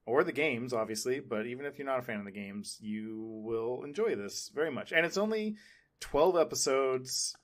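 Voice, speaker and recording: A man's voice: medium pitch, lively intonation, moderate pace. A man co-hosts a pop-culture podcast in an ordinary mid-range voice. He chats casually as an enthusiastic fan, looping back over phrases and leaning on "like" as he goes. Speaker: podcast co-host.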